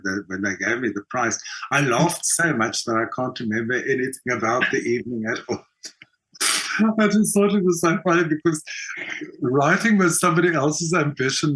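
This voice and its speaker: Older South African man, slightly husky. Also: medium pitch, fairly bright tone, rough recording. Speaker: interview guest, writer and performer. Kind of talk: casual reflective storytelling in an interview.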